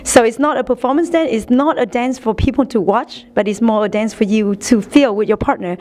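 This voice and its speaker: A woman's voice: fairly high pitch, a little fast, darkish tone. A woman from Vietnam, a guest dancer, explaining her views in an earnest, emphatic way.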